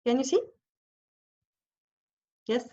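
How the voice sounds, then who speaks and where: Hesitant French woman on a lo-fi call. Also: warm tone. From France. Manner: hesitant, searching for words, many pauses and restarts. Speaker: religious sister giving a talk. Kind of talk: informal presentation to an online group. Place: home, on a video call. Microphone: computer microphone on a video call. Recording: lo-fi video call audio.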